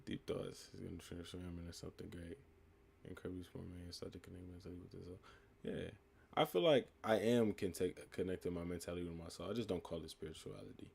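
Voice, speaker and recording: A young man from the United States with a calm, laid-back voice, reading live chat questions aloud and answering them in a relaxed, reflective way. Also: low voice, fast talker, creaky, slight background noise. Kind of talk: casual live stream chat.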